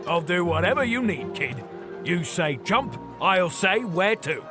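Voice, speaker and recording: Man acting scrappy, high-voiced reformed thief. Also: big pitch swings, measured pace, music in the background. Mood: eager, loyal. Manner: earnest, informal street talk. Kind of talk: children's audio drama acting.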